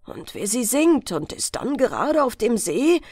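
A woman's voice: on the high side, sing-song, a little slow, darkish tone, no room sound, clean studio sound. A woman voicing a stern old fisherman father in a fairy tale, admonishing his child emphatically and with vehemence. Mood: ominous.

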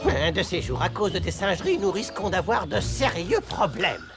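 A man voicing a clever, fussy animal sidekick in a French cartoon dub, animated and theatrical. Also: sits high, normal speed, over music. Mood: scolding.

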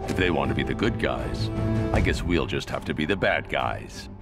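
Gruff deep caped hero, terse, music blasting. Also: male voice, normal speed. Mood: stern. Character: brooding stern caped hero man. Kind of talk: video game voice acting. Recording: game audio with loud score.

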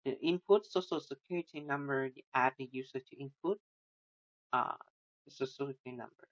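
Halting man walking through code. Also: mid-range voice. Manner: halting, many restarts and fillers, non-native phrasing. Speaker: student presenting a coding project. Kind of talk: screen-recorded code walkthrough. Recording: dull lo-fi sound.

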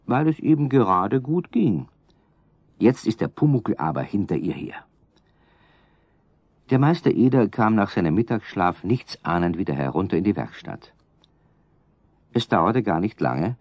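Calm, low-voiced man narrating. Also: dry recording. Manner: calm, addresses listeners. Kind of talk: scripted storybook narration.

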